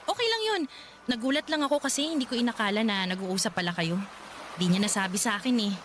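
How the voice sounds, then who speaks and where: A woman's voice: fairly high pitch, lively intonation, fast, background noise, lightly acted. A woman playing an uneasy, suspicious young woman in love in a radio drama, probing hesitantly with a Filipino accent. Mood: curious, suspicious.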